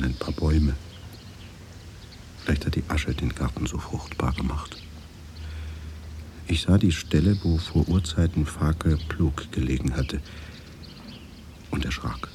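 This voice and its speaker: An actor playing a haunted, brooding man who recalls a wartime childhood in a hushed, measured, introspective radio drama narration. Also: a bass voice, slight background noise. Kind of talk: radio drama, reflective first-person narration with dialogue.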